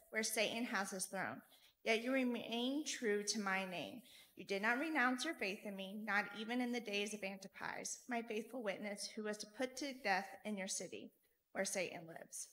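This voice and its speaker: A woman reading a scripture passage aloud in church in a measured, steady way. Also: medium pitch. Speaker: scripture reader.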